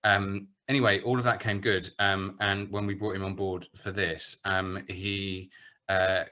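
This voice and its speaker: A man speaking informally and haltingly as a panel speaker, explaining things casually at an online event. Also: deep voice, little pitch movement, pushed and bright. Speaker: panel speaker, theatre producer. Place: online video call.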